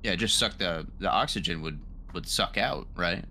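A man playing a tabletop game, talking casually and thinking aloud in a halting way. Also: low-pitched, sharp tone, slight background noise. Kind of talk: casual chat.

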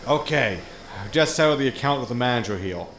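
A man's voice: medium pitch, lively intonation, brisk, close to an everyday voice. Man acting a sarcastic spaceship crewman, echoey. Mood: impatient, puzzled. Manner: sarcastic, exasperated. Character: grumbling, money-minded, sarcastic spaceship crewman. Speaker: actor in an audio drama. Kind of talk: sitcom audio drama acting. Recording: roomy echo with noisy ambience.

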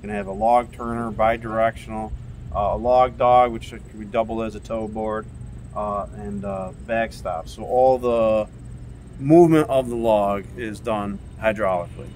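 A man's voice: fairly low pitch, steady tone, moderate pace, darkish tone. A middle-aged man casually walking visitors through equipment as a company representative. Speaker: sawmill company representative giving a tour. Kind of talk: casual product walkthrough. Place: workshop and outdoor equipment yard. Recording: noisy on-location camera audio, machinery ambience.

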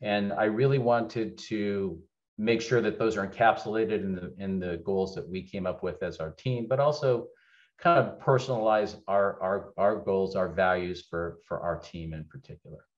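Deep-voiced man on a dull video call. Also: normal speed. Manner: conversational, candid, many fillers and restarts. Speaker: keynote speaker, legal executive. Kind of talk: webinar keynote presentation. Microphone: webcam on video call.

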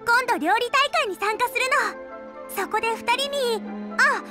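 A woman's voice: very high-pitched, measured pace, over music. A woman playing a cheerful, eager teenage girl cook in a video game, perky and earnest. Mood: eager, friendly. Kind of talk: Japanese game voice acting.

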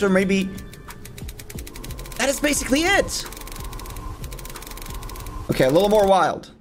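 A young man giving casual, excitable reaction commentary as host of a gaming video, informal and full of exclamations. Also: high voice. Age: young adult. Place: indoor room at a desk. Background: music from the videos he watches.

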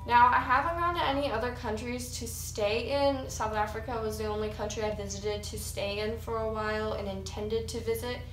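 A woman's voice: a little high, fairly even intonation, moderate pace, hardly any pauses, fairly bright tone, roomy sound, distant mic, faint music behind, poor audio. An eighteen-year-old American woman chatting to camera in a casual vlog, talking with her hands. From United States. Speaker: YouTuber. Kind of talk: casual get-to-know-me vlog. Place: small room with cinder block wall and couch, indoors. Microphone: camera mic a few feet away.

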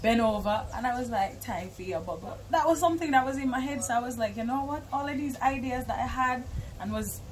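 High-voiced young Jamaican woman, casual and animated. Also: a little fast, slight background noise, low-quality recording. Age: young adult. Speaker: musician being interviewed. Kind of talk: casual interview chat. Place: outdoors on a porch by a garden.

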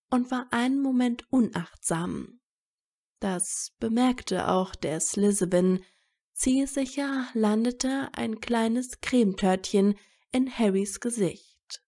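A woman narrates a scripted story at an unhurried pace. Her voice is mellow and mid-pitched, with noticeable fry.

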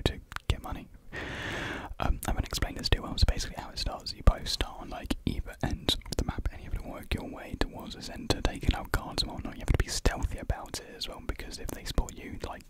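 A British man in his twenties whispering a casual ASMR ramble softly, close to a desktop microphone in a small room. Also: brisk. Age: 20s. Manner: soft unvoiced whispering. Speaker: ASMR video creator. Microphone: close desktop USB microphone.

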